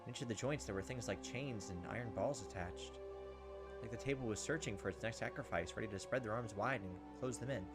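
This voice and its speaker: A man's voice: lowish voice, fast. A man reading a visual novel aloud as a let's player, telling it dramatically and acting out the lines. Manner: expressive, storytelling, acts out the lines. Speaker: video game let's player. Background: game music.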